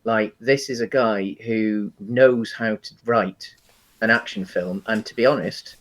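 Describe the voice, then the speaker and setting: A man co-hosting a casual film discussion podcast, talking enthusiastically in a hesitant, halting, rambling way. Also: on the low side, steady tone, rough recording. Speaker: podcast host.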